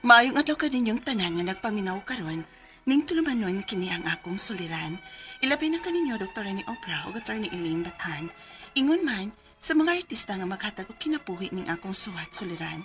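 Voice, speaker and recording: A woman's voice: medium pitch, big pitch swings, brisk, a bit bright, faint music behind. A woman acting a caring wife and mother in her fifties, with a Cebuano Filipino accent, in a radio drama. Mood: earnest narrating. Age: 50s. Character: Lenny, caring 58-year-old wife and mother telling her story. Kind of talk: Bisaya radio drama acting and letter narration.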